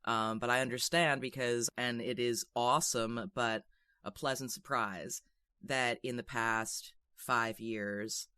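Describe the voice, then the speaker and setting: Male interviewer, crisp clear voice, dry sound, chatty and wordy. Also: mid-range voice, steady tone, slow, pushed and bright, no echo. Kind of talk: conversational interview.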